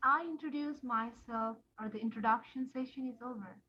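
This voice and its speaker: A woman's voice: fairly high pitch, fairly quick, husky, highs cut off. A woman, speaking hesitantly and a little unsure as a participant on a video call. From Nepal. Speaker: conference participant.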